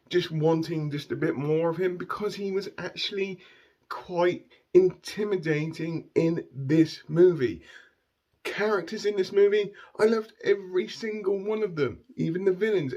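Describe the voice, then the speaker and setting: A man with a croaky voice giving an enthusiastic casual movie review, repeating words and often tagging "okay?" onto his sentences. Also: high voice, a little mellow. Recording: dull lo-fi recording.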